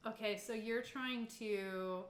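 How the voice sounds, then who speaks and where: A woman's voice: on the high side, fairly even intonation, slow pace. Woman game master in an echoey room. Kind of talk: casual improvised tabletop game narration. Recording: echoey room, faint background noise.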